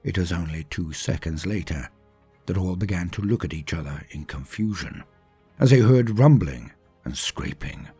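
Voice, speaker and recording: Male lore narrator: husky, swooping dramatic delivery, emphatic and theatrical, light music bed. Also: deep voice, lively intonation, moderate pace, dark tone. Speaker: storyteller and lore channel host.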